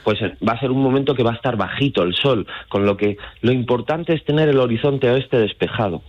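A man's voice: medium pitch, brisk. A man from Madrid with a central Spanish accent explains things step by step as a guest in an informal radio interview, speaking over the phone. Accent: central Spain. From Madrid, Spain. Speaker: astrotourism guide, phone guest. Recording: dull lo-fi phone call.

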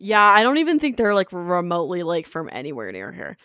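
A bubbly young woman in her early twenties hosts a college radio show. Her pitch swings widely as she chats and laughs in slang. The sound is dry and close on a studio mic. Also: wildly swooping pitch, brisk, pushed and bright, no echo. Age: 20s. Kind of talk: casual chat.

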